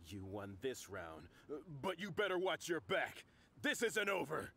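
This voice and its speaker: A man voicing a threatening, sore-losing villain henchman in a video game, gravelly and menacing. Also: fairly high pitch, sing-song, unhurried, bright, forceful tone, full character voice. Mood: resentful. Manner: menacing, begrudging. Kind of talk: video game character acting.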